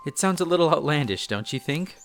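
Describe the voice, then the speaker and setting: A man playing an earnest, bookish young elf apprentice in a roleplay audio drama, chatty and a little hesitant, easily flustered. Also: fairly high pitch. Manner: hesitant, chatty, explains eagerly. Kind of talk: scripted roleplay audio drama addressed to the listener.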